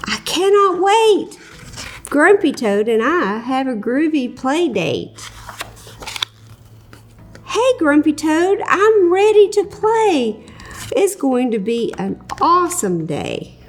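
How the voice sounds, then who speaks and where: A woman's voice: high-pitched, big pitch swings, takes it slowly, heavily acted. A woman reading aloud, voicing a cheerful, easygoing young boy kitten in a children's storybook with an upbeat, sing-song manner.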